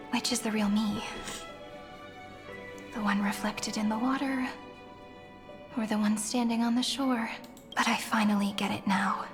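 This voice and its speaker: A gentle young heroine , played by a woman, speaks in a high, tender voice with measured, poetic phrasing. It is fantasy game dialogue, wistful in temper, in long reverb over clear music. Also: lightly acted. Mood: reflective. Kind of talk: scripted fantasy game voice acting.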